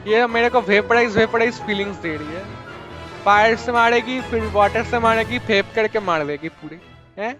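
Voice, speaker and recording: A man's voice: high-pitched, very fast. A man giving casual live reactions in short remarks, as a livestreamer. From India. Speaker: livestreamer reacting to a game showcase. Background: music.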